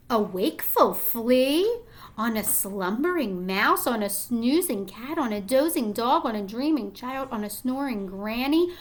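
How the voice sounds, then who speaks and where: A woman teacher reading a picture book aloud to young children, deliberate and expressive, prompting them with questions. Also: on the high side.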